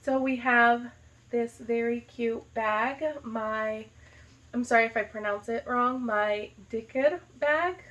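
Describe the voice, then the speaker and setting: A young woman from the United States opens a gift box in a relaxed vlog. Her voice is a little high and she talks slowly, lingering on her delighted reactions. She gushes over every item, tossing in plenty of casual "like". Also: muffled recording. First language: not Arabic. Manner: gushing, enthusiastic, lots of "like" and "so cute". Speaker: vlogger, high school teacher.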